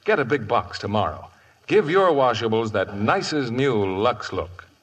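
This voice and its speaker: A man announcing in scripted 1950s radio style, with a husky voice. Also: mid-range voice, big pitch swings, normal speed.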